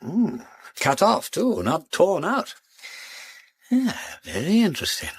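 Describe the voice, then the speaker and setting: An actor in a radio drama playing a genial, witty gentleman detective with an upper-class English accent, breezy and given to musing aloud. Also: high-pitched, wildly swooping pitch, takes it slowly, slightly rough, full character voice. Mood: musing, intrigued. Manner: breezy, whimsical, musing aloud, old-fashioned society slang.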